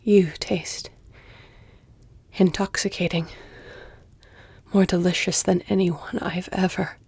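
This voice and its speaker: Low, breathy, seductive vampire woman, played by an actress. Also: fairly even intonation, no echo, exaggerated voice. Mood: soothing, hungry. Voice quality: breathy, hushed, often near whisper. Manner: slow, deliberate, formal, teasing, breathy delivery. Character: flirtatious, seductive, elegant female vampire. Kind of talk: intimate audio roleplay, scripted. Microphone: close, dry microphone.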